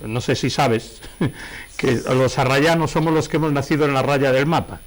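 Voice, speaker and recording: A man's voice: mid-range voice, moderate pace. A middle-aged man from Galicia with a Spanish accent, talking as an interview guest in a digressive, anecdotal way and often correcting himself. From Galicia, Spain. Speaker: interview guest, painter and novelist. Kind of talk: conversational interview, anecdotal storytelling.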